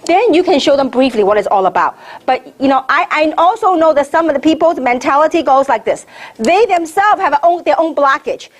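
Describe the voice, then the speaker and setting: A middle-aged woman from Hong Kong with a high-pitched voice, delivering a motivational sales training talk. She drives each point home with repetition and emphasis, and often puts rhetorical questions to her listeners. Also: lively intonation, brisk. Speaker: network marketing trainer giving a seminar.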